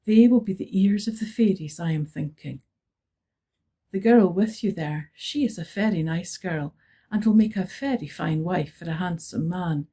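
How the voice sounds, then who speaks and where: Woman putting on a proud old Highland widow. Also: moderate pace, mellow, breathy edge. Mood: stately. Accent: Scottish Highland. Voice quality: rich, powerful, lilting.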